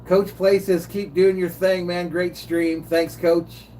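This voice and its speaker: A man's voice: high-pitched, flat delivery, slow pace, mellow. An older man streaming casual play-by-play of a game, chatting with viewers in a laid-back way. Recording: distant mic, faint room noise.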